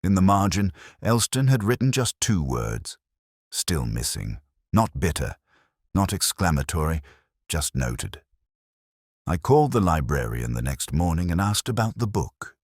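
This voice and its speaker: A man playing a weary, reflective older detective, narrating a crime drama in measured, solemn, literary phrases. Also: low-pitched, sing-song, no echo, clean studio sound, exaggerated voice. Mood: melancholy, pensive. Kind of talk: scripted first-person detective story narration.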